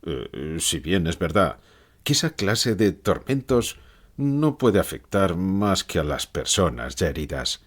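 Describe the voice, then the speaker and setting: A dry, weary dead philosopher acted by a man answers an interviewer. His husky, low voice moves gravely and deliberately, with lively swings of pitch. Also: exaggerated voice. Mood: grave, anguished. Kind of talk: dramatized interview, slow reflective answers.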